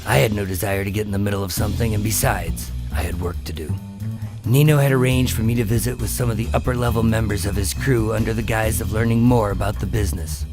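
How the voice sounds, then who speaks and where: An actor playing a wary, wry undercover FBI agent in a noir-like radio drama. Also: male voice, on the low side, steady tone, fast, music in the background, close to an everyday voice. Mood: wry, probing. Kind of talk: noir-like scripted narration and radio drama acting.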